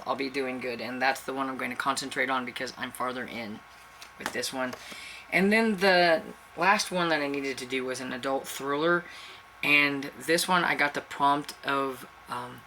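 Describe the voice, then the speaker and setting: Bright-voiced woman with a stuffy cold. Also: extremely low pitch, normal speed, slight background noise. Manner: rambling and chatty, with many fillers and self-restarts. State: stuffy-nosed with a cold, throat dry and raspy. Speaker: book channel host. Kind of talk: casual chat to camera.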